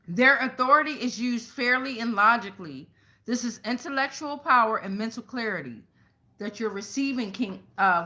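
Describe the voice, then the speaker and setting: A woman's voice: on the high side, pushed and bright. An American woman hosting a casual tarot reading for viewers, speaking in a blunt, slangy way. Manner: blunt, slangy, freely swearing. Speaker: tarot reader and show host.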